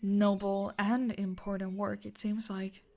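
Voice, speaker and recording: Female actor as gentle, grateful farm woman in fantasy roleplay; unhurried, even delivery, modest and hesitant. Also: mid-range voice, a little hiss or hum, lightly acted. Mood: polite. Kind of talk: scripted fantasy audio roleplay.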